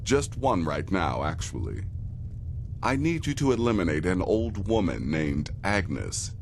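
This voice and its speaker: An actor playing a grave, darkly courteous assassin in a video game, speaking in a measured, wry and formal way. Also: male voice, low voice, sing-song, gravelly, slight background noise, heavily acted. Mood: measured, instructive. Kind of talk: video game character acting.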